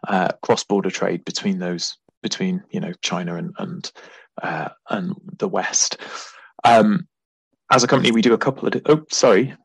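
A man's voice: low-pitched, vocal fry, breathy edge, no echo, rough recording. A man explaining his company's work as a director and interview guest. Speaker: client services director, podcast guest.

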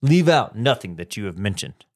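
A man's voice: lowish voice, sing-song, moderate pace, pitched below the performer's own voice. A man playing a generous king in a school radio skit, reading formal lines. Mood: regal. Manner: formal, declaiming.

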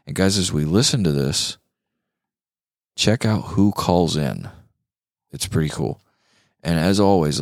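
Deep-voiced American guy hosting a podcast. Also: dry recording, studio quality. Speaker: podcast host. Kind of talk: casual music chat.

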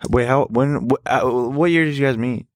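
A man's voice: mid-range voice, normal speed, no echo, studio quality. A man in his twenties chatting casually, with a crude, profane and joking manner. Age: 20s. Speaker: podcast host. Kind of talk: casual banter, vlog chat.

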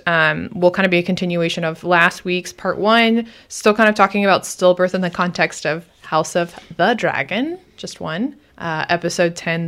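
A woman's voice: mid-range voice, fairly bright tone. A woman co-hosting a podcast in casual, informal conversation with an easy bantering way of talking. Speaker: podcast co-host.

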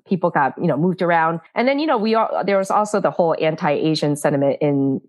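A woman's voice: deep voice, lively intonation, fast, muffled recording. A woman author from Taiwan, talking casually in an interview with many fillers and little laughs. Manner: chatty, frequent fillers and laughs.

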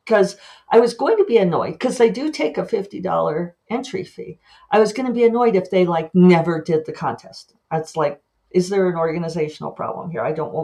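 Chatty woman podcaster, slightly low voice. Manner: chatty, animated, quick asides and self-mocking laughs; lively pitch jumps when acting out her own thoughts. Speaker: podcast host and author. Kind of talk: casual monologue.

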